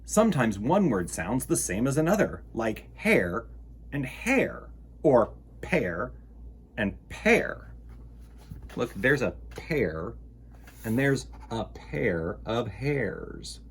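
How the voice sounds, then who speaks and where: A man's voice: mid-range voice, sing-song, slow, slight background noise. A man hosting a children's storytime, reading aloud and chatting expressively to young listeners in an enthusiastic, encouraging manner. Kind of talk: expressive read-aloud and chat addressed to children.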